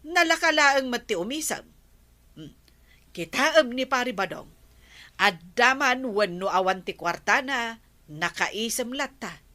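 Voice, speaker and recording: A woman playing a village captain's nagging wife in an Ilocano radio comedy drama, coaxing insistently in drawn-out phrases. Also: fairly high pitch, lively intonation, pushed and bright.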